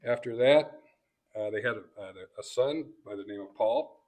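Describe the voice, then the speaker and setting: A man introducing guests in a banquet speech, delivering it phrase by phrase in a halting way. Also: on the low side, darkish tone. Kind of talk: banquet introduction speech, read from notes with asides. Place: banquet event room.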